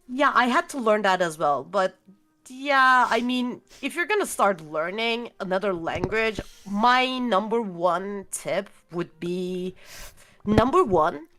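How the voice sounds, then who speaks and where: A woman's voice: mid-range voice, fairly bright tone. Turkish-accented young woman chatting loosely. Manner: rambling, searches for words with many fillers. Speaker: interview guest. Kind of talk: casual video-call chat.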